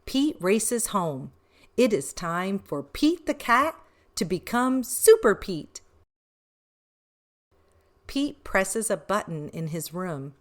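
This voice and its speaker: A woman reading a children's picture book aloud in an expressive, deliberate way with emphasis on short phrases. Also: medium pitch, slight background noise. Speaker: read-aloud storyteller for children.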